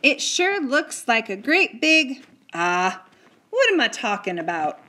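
A woman's voice: fairly high pitch, very animated, slow pace, bright, forceful tone, voice pushed up, exaggerated voice. A woman doing a tiny drawling cowboy. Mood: astonished. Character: tiny gruff cowboy man.

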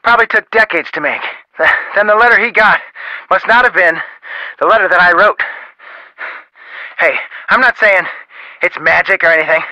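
A man's voice: sits high, normal speed, long pauses, sharp tone, no room sound, rough recording. An actor playing a brusque, impatient male scientist talking on the phone in a video game, in short clipped sentences. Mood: curt.

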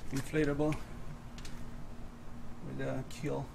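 A man giving an unscripted casual walkthrough as a vlogger, halting and hesitant, often pausing mid-sentence. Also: mid-range voice, little pitch movement. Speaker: vlogger showing his gear. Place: outdoors in a residential driveway. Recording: clear outdoor ambient noise.

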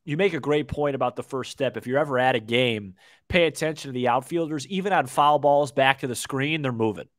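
A man in his twenties co-hosting a casual sports podcast, talking baseball in a halting way with repeated words. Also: medium pitch, fairly bright tone, no room sound, clean studio sound. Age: 20s. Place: small indoor room, video call. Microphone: desk microphone, close.

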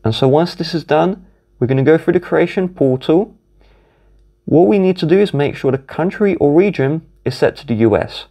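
A man's voice: on the high side, lively intonation, normal speed, mellow. A man from the UK with a British accent, methodically narrating a step-by-step phone tutorial while filming the screens at a desk. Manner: instructive, methodical, walks through each tap. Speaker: tech tutorial host.

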